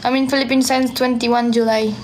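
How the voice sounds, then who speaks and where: High-voiced French woman on a phone stream. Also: flat delivery, a little hiss or hum. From France. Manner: soft-spoken, slow and friendly, answering comments one by one. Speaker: livestream host. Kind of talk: live stream chat, reading viewer comments. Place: small room indoors. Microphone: phone held at arm's length.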